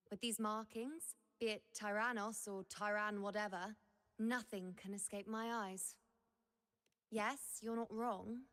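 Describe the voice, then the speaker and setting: A woman's voice: medium pitch, natural voice. A woman voicing a confident, shrewd and playful huntress in a video game, with a teasing, self-assured delivery. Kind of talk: scripted video game voice acting.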